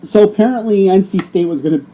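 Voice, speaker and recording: A man's voice: high-pitched, fast, mellow, rough recording. A middle-aged man co-hosting a casual chat show, joking and teasing. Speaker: co-host.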